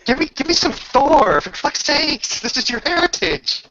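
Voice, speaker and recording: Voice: sits high, very animated, moderate pace, pushed and bright, no room sound. A game master narrating a tabletop roleplaying session casually, bantering and swearing freely. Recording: dull lo-fi recording.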